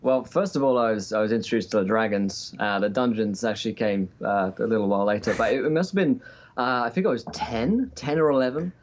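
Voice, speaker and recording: A man's voice: on the low side, a little fast, lo-fi sound, a little hiss or hum. A man in his early twenties chatting casually as a guest over a remote call, wry and self-deprecating. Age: early 20s. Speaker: podcast guest, game master. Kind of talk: casual chat.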